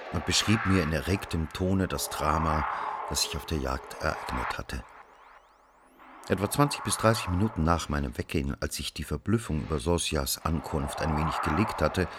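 A man playing a brooding first-person narrator in a radio drama, telling a literary tale in a measured, dramatic way. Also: low voice, background noise, close to an everyday voice.

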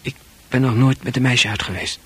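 Husky, bashful teenage boy, softly hesitant. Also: medium pitch, steady tone, fairly quick. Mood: bashful, evasive. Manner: hesitant, soft-spoken, awkward. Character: shy, bashful teenage boy, gentle only child. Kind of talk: intimate Dutch radio play acting.

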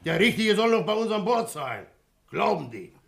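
Gravelly, blustering ship's captain. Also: male voice, very animated, moderate pace, a bit bright, full character voice. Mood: grumbling. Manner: booming bonhomie, gruff cursing, emphatic. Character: jovial, blustering, grumbling old-school ship's captain. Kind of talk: 1960 German radio crime drama acting.

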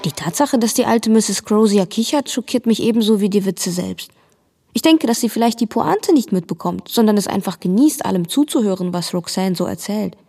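A woman's voice: mid-range voice, animated, brisk, warm tone, slightly rough, soft background music. An actress playing an observant teenage girl who narrates in a radio drama. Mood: observant, puzzled.

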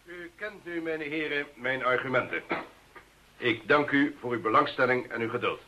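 An actor playing a formal gentleman addressing a meeting in a radio drama, declamatory in manner. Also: medium pitch, moderate pace, close to an everyday voice.

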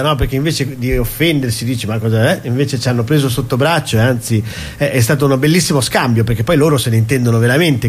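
A man chatting as a guest on a radio show, talking in long run-on sentences and laughing often. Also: medium pitch. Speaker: stage performer and guest. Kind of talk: casual radio chat. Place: radio studio.

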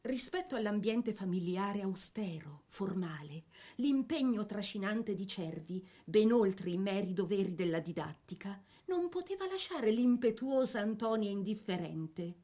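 Woman narrator with measured, theatrical phrasing. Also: mid-range voice, animated, warm tone. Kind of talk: scripted dramatic biographical narration.

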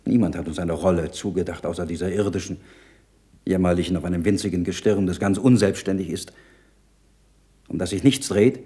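Earnest, low, mellow-voiced troubled monk. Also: male voice, slightly rough. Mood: sorrowful. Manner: measured, solemn, rhetorical monologue. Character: earnest, troubled learned monk of peasant birth. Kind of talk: German radio play acting.

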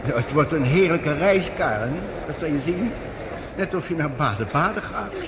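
A man's voice: fairly high pitch, animated, moderate pace, background noise. An actor playing an anguished middle-aged professor, a father of three, pleading in a radio play. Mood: comforting. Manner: pleading, desperate, voice breaking upward. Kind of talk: radio drama acting.

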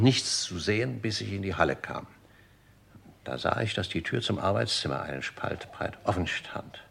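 An actor playing an older, formal manservant in a German radio crime drama, deferential and deliberate, with a husky, gravelly voice. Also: male voice, deep voice, a bit bright, heavily acted. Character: dutiful, formal old-school manservant of a murdered gentleman.

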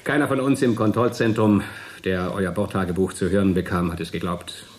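An actor playing a jovial, smug space program chief in a German radio play, with a hearty, patronizing manner. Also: male voice, sits low, steady tone, brisk, natural voice. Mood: smug, congratulatory.

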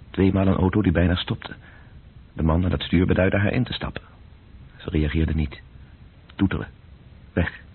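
A man playing a sober, detached storyteller in a radio play, narrating in terse, measured phrases with a husky voice. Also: low-pitched, full character voice. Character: sober male storyteller, detached observer of city evening. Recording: muffled phone-like old radio recording.

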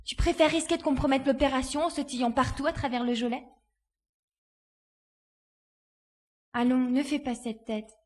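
A woman playing a spirited, resourceful young girl in a dramatised audiobook, lively and assured in her delivery. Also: high voice, a little fast. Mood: firm, teasing. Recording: faint background noise.

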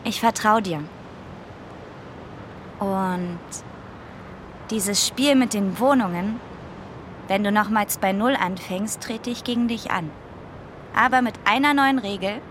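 A woman's voice: medium pitch, slow, long pauses, noisy background, natural voice. An actress playing a candid, proud teenage girl in a radio drama, with a direct, youthful way of talking. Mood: challenging.

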